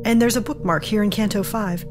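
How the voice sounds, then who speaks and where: A woman's voice: mid-range voice, brisk, lightly acted. Woman playing a determined heroine over music. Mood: curious, intent. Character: determined, defiant woman breaking free of illusion. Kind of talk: audio drama acting.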